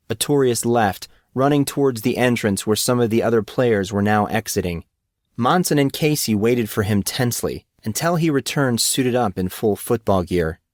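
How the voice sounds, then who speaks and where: A man's voice: on the low side, no echo, clean studio sound. A man reading scripted fiction aloud as the narrator of a story.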